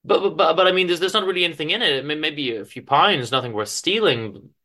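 A man's voice: a little high, expressive, fast talker, sharp tone, voice pushed up. A man voicing a frightened, flustered, kindly woman who stammers and pleads, in a story reading.

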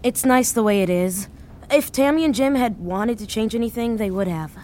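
Actress as grieving, hesitant woman, higher voice. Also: normal speed, a little mellow, a little hiss or hum, close to an everyday voice. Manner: hesitant, subdued. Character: grieving, hesitant, sentimental woman in her thirties. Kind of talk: naturalistic audio drama dialogue.

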